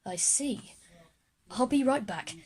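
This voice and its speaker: A woman's voice: high-pitched, lively intonation, slow, darkish tone, husky, full character voice. A woman voicing a polite, prying male headmaster in an audio drama for children. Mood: polite, suspicious.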